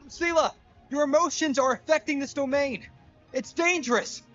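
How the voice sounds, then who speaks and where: A man's voice: very high voice, normal speed, fairly bright tone, dull audio. A man voicing an alarmed, earnest, protective male companion in a video game, urgent and pleading. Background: game music.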